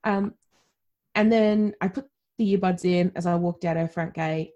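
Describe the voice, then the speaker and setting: Mellow-voiced Australian woman. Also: fairly low pitch, fairly even intonation, normal speed, dull audio. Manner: chatty, laughs often, candid. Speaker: podcast guest. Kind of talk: conversational storytelling.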